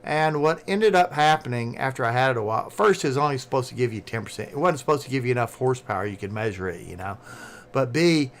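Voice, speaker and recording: Man, Southern American accent, medium pitch, quick rambling talk, casual live stream chat. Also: lively intonation. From southern United States. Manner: fast-talking, rambling.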